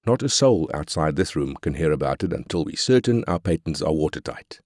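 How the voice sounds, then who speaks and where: An arrogant business magnate with an extremely deep voice, recorded dry and close. He is brash, cynical and commanding, a callous corporate boss from a strategy game. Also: expressive, a little fast, a little mellow, no echo. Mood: scheming, eager. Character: ruthless, arrogant South African corporate tycoon. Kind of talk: scripted game character quotes.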